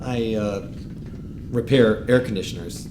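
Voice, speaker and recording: An actor playing a wary, stranded motorcyclist with a hesitant, colloquial way of talking in an audio drama. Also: male voice, fairly low pitch, a little slow, noisy background, lightly acted. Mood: wary, probing. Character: wary, stranded male motorcyclist, an air-conditioner repairman. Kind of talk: full-cast audio drama acting.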